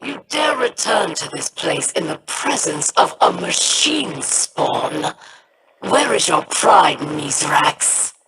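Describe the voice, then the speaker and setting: A woman's voice: lowish voice, big pitch swings, takes it slowly, creaky, noisy background, exaggerated voice. A woman voicing a fierce, proud, hostile alien queen in a video game, speaking with scorn and menace. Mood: scornful.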